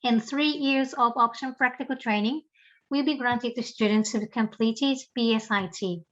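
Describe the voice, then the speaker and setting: A woman giving a measured, explanatory scripted narration for a university program video. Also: on the high side, fairly bright tone. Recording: dull lo-fi sound.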